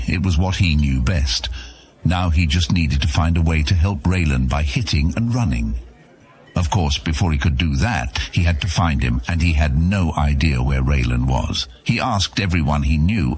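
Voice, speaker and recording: A man narrating a fantasy audio serial as a dramatic, scripted story. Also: rumbling low.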